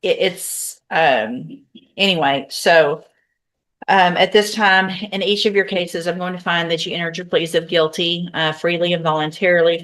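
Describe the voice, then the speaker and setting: An American woman presiding as a judge, with a slightly low voice. She speaks in a firm, plain-spoken and formal way, as in courtroom proceedings. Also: a bit bright. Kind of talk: courtroom sentencing and docket proceedings.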